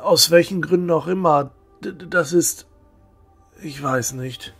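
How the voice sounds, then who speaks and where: A devout young fantasy hero, acted by a man, torn between righteousness and doubt. He speaks slowly and haltingly, his voice a little husky, with faint music underneath. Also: fairly high pitch, a bit bright, exaggerated voice. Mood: troubled doubt. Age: young adult. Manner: hesitant, halting, solemn. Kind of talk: fan-made fantasy audio drama acting.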